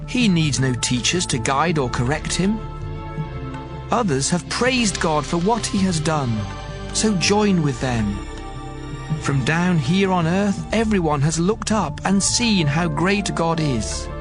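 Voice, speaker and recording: A man's voice: fairly high pitch, animated, slow. An actor playing a devout man who earnestly declaims his awe of God's power in a dramatized audio Bible. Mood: earnest warning. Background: music under the voice.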